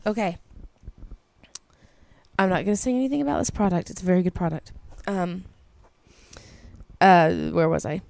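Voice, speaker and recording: A woman's voice: fairly low pitch, expressive, normal speed, vocal fry, long pauses, darkish tone, no room sound. A woman co-hosting a podcast, reading listener emails aloud in a casual, gushing way that is peppered with swearing and ums. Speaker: podcast co-host.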